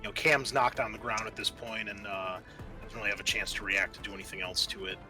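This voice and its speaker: A man narrating a tabletop roleplaying game as game master, speaking haltingly with pauses mid-sentence. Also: fairly low pitch, fairly even intonation. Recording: music behind the voice. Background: background music.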